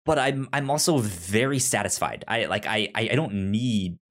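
A man co-hosting a podcast, chatting casually about films in a halting way, often repeating words and restarting. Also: fairly low pitch, expressive, a bit bright. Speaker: podcast co-host. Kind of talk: casual film review chat.

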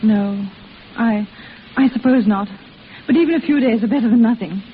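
A woman's voice: fairly high pitch, moderate pace, natural voice. An actress playing an eager, lovestruck young woman in a 1940s radio drama, breathless and earnest. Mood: earnest, yearning. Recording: tinny, noisy old radio recording.

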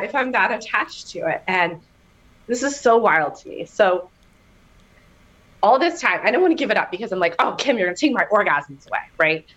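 A woman sharing a candid personal story as a guest on an interview podcast, animated and given to laughter. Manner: animated storytelling, laughing, bursts of high excitement.

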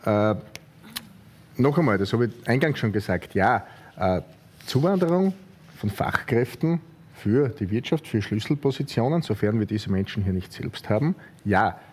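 A man, a politician arguing heatedly in a debate, forceful and repetitive. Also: lowish voice, lively intonation, moderate pace, breathy edge. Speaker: politician guest.

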